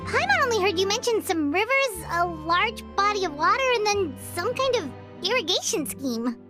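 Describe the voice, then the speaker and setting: Squeaky, chirpy little fairy sidekick, very high and bouncy, game music behind. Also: female voice, sing-song, moderate pace. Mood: curious. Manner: chirpy, talks about herself in third person. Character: tiny floating female fairy companion, chatty. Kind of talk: video game voice acting.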